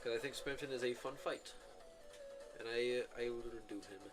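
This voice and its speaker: A man's voice: mid-range voice, normal speed. A man streaming a video game, giving casual, rambling commentary full of filler words and self-corrections. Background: game music.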